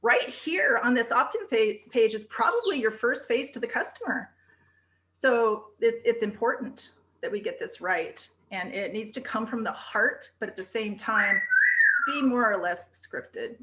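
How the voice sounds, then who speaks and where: Woman coach, loud projecting voice, bright tone, high energy; video call. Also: animated, unhurried, lo-fi sound. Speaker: presentation coach.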